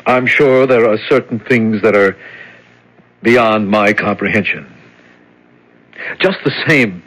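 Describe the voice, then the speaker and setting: A husky, uneasy prison deputy. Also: male voice, on the low side, slow, a bit bright, full character voice, low-quality recording. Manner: hesitant, uneasy. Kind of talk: 1970s radio drama acting.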